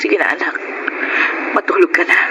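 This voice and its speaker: A woman's voice: on the low side, moderate pace, gravelly, noisy background. A woman with a Filipino accent playing a poor, self-sacrificing mother in an emotive radio drama. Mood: pained, pleading. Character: poor, burn-scarred mother, tender, self-sacrificing and sorrowful.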